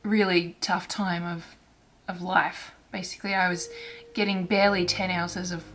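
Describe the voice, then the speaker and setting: A woman hosting her own crafting vlog in casual chat, her voice hoarse and raspy from throat irritation, talking softly and haltingly with frequent restarts. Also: lowish voice, level tone. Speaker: cross-stitch vlogger.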